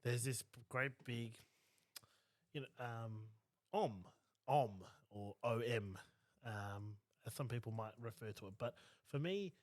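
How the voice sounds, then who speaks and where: Brief: New male podcast co-host, Māori speaker, animated and rambling, dry close mic. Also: mid-range voice, takes it slowly, no echo. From New Zealand. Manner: rambling, animated, many fillers. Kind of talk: casual podcast conversation.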